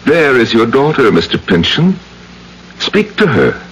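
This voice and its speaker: An actor playing a vengeful undead mesmerist in an old-time radio drama, speaking slowly and theatrically with menace. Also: male voice, mid-range voice, sing-song, a bit bright, slight background noise, poor audio. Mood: sinister, gloating. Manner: slow, theatrical, menacing, savoring words. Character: sinister vengeful male mesmerist, an undead narrator from the grave. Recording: old radio broadcast with music underneath.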